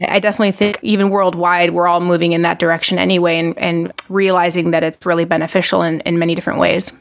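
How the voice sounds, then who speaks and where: A woman's voice: fairly low pitch, little pitch movement, quick pace, creaky. A woman from Argentina, a physician, talking casually in an interview with lots of "like" and "you know" and frequent restarts. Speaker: physician, podcast guest.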